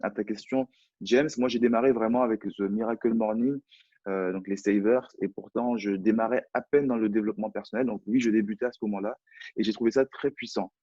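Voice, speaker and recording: Low husky French man coaching. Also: fairly even intonation, fairly quick, warm tone, no room sound, muffled recording. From France. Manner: hesitant, repeats words often. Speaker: personal development coach. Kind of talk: live online chat.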